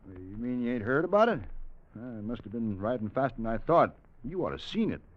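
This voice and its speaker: Folksy cowpuncher on muffled radio. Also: male voice, mid-range voice, sing-song, brisk. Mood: easygoing, impressed. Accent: American. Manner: folksy, easygoing cowboy talk. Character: drawling cowpuncher stranger, gossipy trail rider. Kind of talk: 1950s radio drama acting. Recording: muffled old radio recording.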